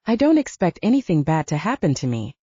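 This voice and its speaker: A woman reading the lines of a calm, earnest male werewolf alpha in an audiobook. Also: sits low, brisk, husky, dry recording, dull audio, full character voice.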